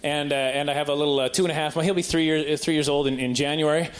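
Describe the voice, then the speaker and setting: A man's voice: on the high side, fairly even intonation, rapid-fire. A man doing conversational stand-up comedy, rambling and stumbling over words as he tells stories in a live recording. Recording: live stand-up recording with clear background noise.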